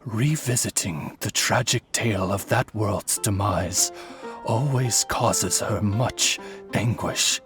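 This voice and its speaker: Posh butler-type familiar for a video game, lowish slow voice, really formal and polite, music behind. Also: male voice. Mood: solemn, pleading. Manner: formal, deferential, verbose, refined. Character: courtly male familiar, devoted servant to a princess. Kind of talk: video game character acting.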